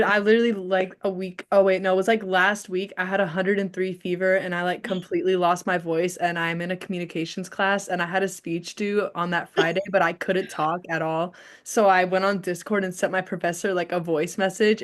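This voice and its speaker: A woman's voice: lowish voice, flat delivery, fairly quick, few pauses. A young woman, a college student, chatting casually in a class study group and filling her talk with "like" and "literally". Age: young adult. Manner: chatty, says "like" and "literally" a lot.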